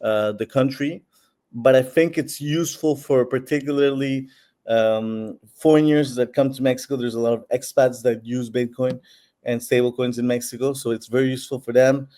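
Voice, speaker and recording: Man, mellow voice, explanatory, filler-heavy, interview guest. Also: medium pitch, steady tone, moderate pace. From Peru. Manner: explanatory, many fillers and restarts. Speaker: founder of a bitcoin platform. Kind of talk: interview answers.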